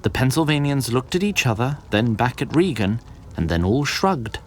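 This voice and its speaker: A man's voice: mid-range voice, sing-song, moderate pace, slight background noise. A man narrating a scripted fantasy story with a literary storyteller's delivery.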